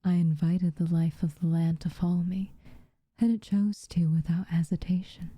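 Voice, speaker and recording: A haughty tsundere witch acted by a woman speaks in a very mellow, dark tone, breathy and often near a whisper. Her phrasing is formal and unhurried, guarded on the surface with tenderness underneath. The sound is close and dry, as in an ASMR roleplay. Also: lowish voice, level tone, no room sound. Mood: wry, resolute. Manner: slow, formal, measured wording with reluctant warmth. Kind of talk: ASMR roleplay, softly spoken to the listener.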